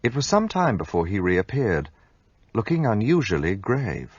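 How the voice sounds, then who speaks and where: A man's voice: fairly low pitch, big pitch swings, moderate pace, a little mellow, slightly rough, no room sound, dull audio. An actor playing a loyal, earnest male companion who also narrates in a radio play.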